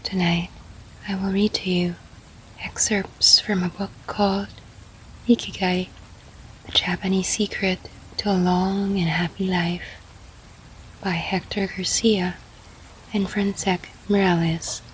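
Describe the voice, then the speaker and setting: A woman leading a guided sleep meditation in a soft, breathy, half-whispered, soothing manner. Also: medium pitch, level tone, slow pace, pauses often, a little hiss or hum, low-quality recording. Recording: faint rain sound behind. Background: soft rain.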